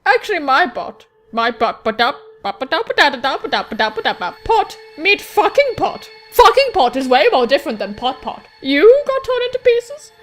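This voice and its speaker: A woman's voice: high-pitched, big pitch swings, brisk, few pauses, a bit bright. A woman doing playful, jokey let's play commentary, swearing casually as she reads game text aloud. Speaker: gaming video host.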